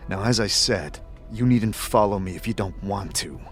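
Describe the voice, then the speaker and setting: A man's voice: fairly low pitch, normal speed, soft background music, close to an everyday voice. A man voicing a weary, guarded immortal adventurer in a fantasy audio drama. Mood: weary, frank.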